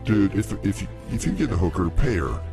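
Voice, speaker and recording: Male comedy sidekick, deep pitched-down voice, animated and goofy, loud music underneath. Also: a little fast. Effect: pitch-shifted down. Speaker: comedy show co-host. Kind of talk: casual joking banter.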